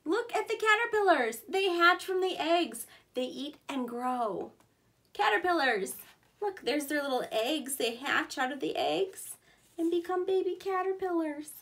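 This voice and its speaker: High-voiced woman teacher reading to little children. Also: animated, a little slow, a bit bright. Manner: simple, clearly emphasized words for small children. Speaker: preschool teacher. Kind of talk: read-aloud for young children.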